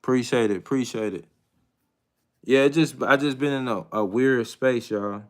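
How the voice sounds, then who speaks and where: A man in his thirties hosting a casual live chat with viewers, talking in a hesitant, reflective way with many pauses and restarts. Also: mid-range voice. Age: 30s. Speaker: livestream host.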